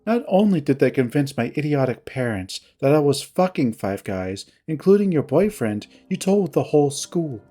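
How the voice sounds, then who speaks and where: A stern young animal woman in a story, played by a man speaks with curt, deliberate formality. She is wounded and accusing, holding herself tightly, over a bed of music. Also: medium pitch, very animated, mellow. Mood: bitter, indignant.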